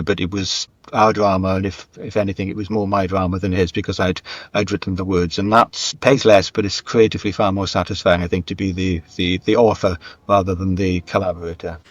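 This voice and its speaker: A man's voice: sits low, fairly even intonation, a little fast, no room sound. A middle-aged man speaking reflectively as an interview guest, thoughtful and emphatic, repeating phrases for stress. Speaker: guest, screenwriter and radio dramatist. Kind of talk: reflective interview conversation, career anecdotes.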